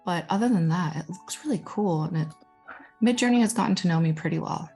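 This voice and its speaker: Young woman, from Guatemala, Spanish first language, lowish voice, chatty, enthusiastic presenter, faint music underneath. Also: fairly quick, creaky, a little mellow. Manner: chatty and enthusiastic, self-deprecating asides. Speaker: digital storytelling manager. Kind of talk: informal presentation showing her artwork.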